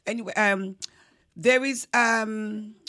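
A Jamaican woman telling a childhood folk story as a guest, rambling and warm, breaking into singing. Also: medium pitch, steady tone, slow pace.

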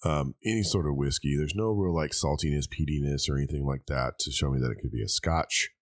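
Very deep-voiced congested man. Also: fast, no echo, clean studio sound. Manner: thinking aloud with many ums. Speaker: host tasting liquor blind. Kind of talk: casual tasting chat.

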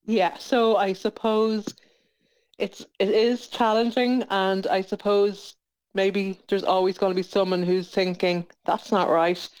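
A woman chats as a guest in a radio interview. Her voice is clean and smooth, at a medium female pitch. She talks informally and often rewords what she is saying. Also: level tone, measured pace, darkish tone, poor audio. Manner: informal, chatty, self-correcting. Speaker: interview guest, playwright and producer.

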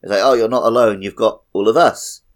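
A man's voice: on the low side, fairly quick. A man co-hosting a podcast, chatting casually about a video game. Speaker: podcast host.

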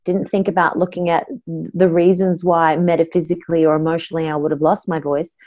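A middle-aged Australian woman speaks as an interview guest, with a voice sitting a bit low for a woman. She tells her story in an open, earnest way, as a voice coach talking about her work. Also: level tone, fairly quick, a little mellow.

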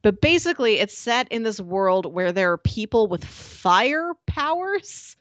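A woman co-hosting a podcast in casual chat, talking in an animated way and often laughing as she speaks. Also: mid-range voice, a little slow, dry recording, dull audio. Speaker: podcast co-host.

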